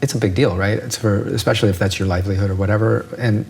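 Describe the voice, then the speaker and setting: Low-voiced man explaining like a doctor. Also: fairly even intonation, fast, gravelly, a little mellow, a little hiss or hum. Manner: explains with analogies, checks in with "right?". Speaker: ear doctor guest. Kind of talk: explanatory interview talk.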